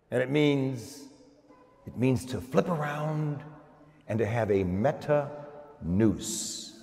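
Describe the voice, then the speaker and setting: An older man with an American accent preaches in a big church, his voice trailed by long reverb. He speaks at a middle pitch as a priest giving a homily, emphatic and fond of dramatic pauses. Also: darkish tone. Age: 60s or older. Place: large church, at the lectern. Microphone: gooseneck lectern microphone.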